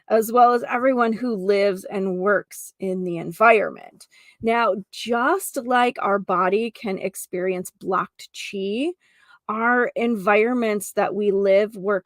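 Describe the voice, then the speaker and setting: A woman hosting a spiritual healing show, explaining casually and earnestly to camera with informal asides, her voice creaky with vocal fry. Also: medium pitch, no echo, clean studio sound. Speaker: show host and energy healer.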